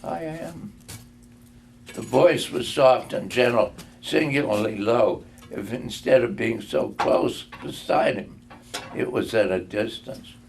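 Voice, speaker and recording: An older man reading a storybook aloud, phrasing it deliberately with pauses. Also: medium pitch, gravelly, a little mellow, rough recording. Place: live reading in a room. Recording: faint background noise.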